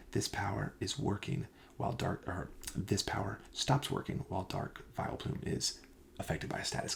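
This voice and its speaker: Male ASMR roleplayer as a shop clerk: low, creaky, half-whispered delivery, gently explaining the goods. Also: slight background noise. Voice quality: breathy, often dropping to a whisper. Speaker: host playing a card shop clerk in a roleplay. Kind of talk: soft-spoken ASMR roleplay.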